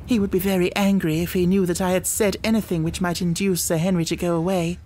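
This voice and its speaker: A man voicing an anxious, secretive young Victorian gentlewoman in an audiobook, speaking in an earnest, pleading, formal manner. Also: high voice, level tone, quick pace, natural voice. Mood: guarded, anxious. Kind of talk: audiobook character dialogue.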